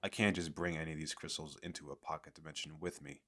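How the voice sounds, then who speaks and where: A man playing an eager, chatty fantasy merchant in an audio roleplay, talking the listener through a sales pitch in a hesitant, rambling, persuasive way. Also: steady tone, fast talker, pushed and bright, heavily acted. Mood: coaxing. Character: eager, chatty male fantasy merchant selling magical crystal castles.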